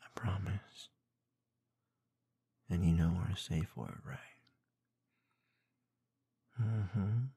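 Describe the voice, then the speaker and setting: A man with a low, dark voice murmurs softly into a close microphone for intimate ASMR. His delivery is very slow and teasing, slipping between gravelly tones and breathy whispers. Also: no room sound. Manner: soft, teasing, slow murmuring with small chuckles and hums. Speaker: ASMR roleplay performer. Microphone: close binaural microphone.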